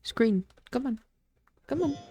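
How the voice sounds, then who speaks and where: A woman live-streaming a game playthrough, giving casual, expressive, exclaiming reactions with informal swearing. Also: fairly high pitch, slow, husky.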